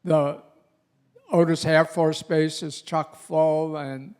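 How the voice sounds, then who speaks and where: A man from the congregation asking a question haltingly, with many fillers and restarts. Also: a little high, level tone, a little mellow, clear, resonant tone. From Massachusetts.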